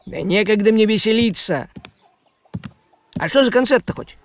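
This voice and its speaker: A man's voice: high-pitched, lively intonation, moderate pace, no echo. A man voicing a grumpy, thrifty, fussy cartoon tomcat with a nagging, exasperated manner. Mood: weary grumbling. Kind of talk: cartoon voice acting.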